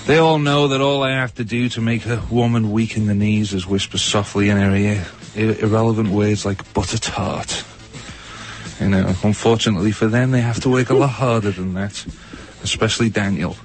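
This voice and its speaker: A man's voice: on the low side, steady tone, moderate pace. A man with a Liverpool accent hosting a radio segment, teasing his rivals in a mock-boastful way. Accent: Liverpool (Scouse). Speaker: radio host of another show.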